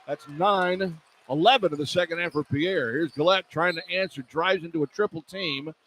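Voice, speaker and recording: A man with a fairly high voice does live radio basketball play-by-play. He describes the action in clipped phrases, ready to rise with excitement. Arena noise sits behind him. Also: normal speed. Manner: clipped running descriptions, rising to excited calls. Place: indoor arena at a live game.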